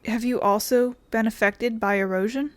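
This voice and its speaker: Female gamer, slightly high-pitched, reading on-screen game dialogue aloud. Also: fairly even intonation, normal speed, no room sound. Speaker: gameplay video creator.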